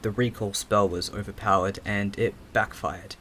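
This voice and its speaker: A man's voice: lowish voice, steady tone, normal speed, a bit bright, slight background noise, natural voice. A man acting a hesitant young man with fractured memories in an audio drama, stammering and thinking aloud. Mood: absorbed, pondering. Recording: music under the voice.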